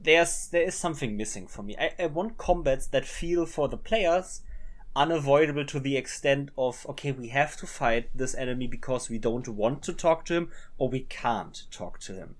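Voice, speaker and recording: German guy on a gaming podcast, chatty and playful, lots of um and restarts, mid pitch. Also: lively intonation, moderate pace. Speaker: podcast co-host. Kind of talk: casual chat. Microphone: webcam setup, home recording.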